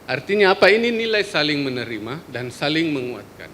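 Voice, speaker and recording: A man from Indonesia with an Indonesian accent, presenting at a forum in a lecture hall, relaxed and joking, with many hesitations. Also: on the high side, fairly quick. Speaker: forum speaker, media researcher. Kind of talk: conference presentation.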